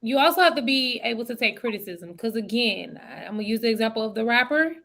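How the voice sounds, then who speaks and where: A woman's voice: fairly high pitch, animated, quick pace, vocal fry, fairly bright tone. A woman in her thirties with a Southern US accent, a guest chatting casually and laughing easily. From Southern United States. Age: 30s. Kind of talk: casual chat.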